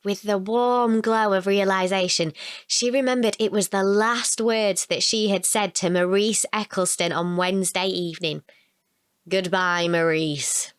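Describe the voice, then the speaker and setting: A woman reading a scripted short story as narrator, with expressive storybook delivery. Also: mid-range voice, normal speed, clean tone, dry recording, studio quality.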